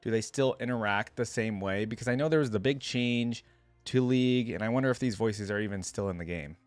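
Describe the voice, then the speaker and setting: A man's voice: fairly low pitch, brisk, dry recording. A man hosting a reaction video with casual, musing, explanatory commentary.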